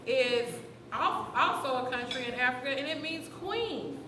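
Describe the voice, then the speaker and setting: A woman's voice: high-pitched, lively intonation, moderate pace, background noise. Earnest woman in an echoey room. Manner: earnest, explains at length with fillers. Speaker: teacher speaking in a group discussion. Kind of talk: open discussion at a community event.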